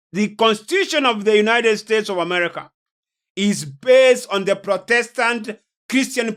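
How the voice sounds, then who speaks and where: A male preacher with a Kenyan accent speaks in a sermon-like lecture. His delivery is slow and deliberate. He keeps a solemn manner and puts emphatic stress on certain words. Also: very high voice, sharp tone.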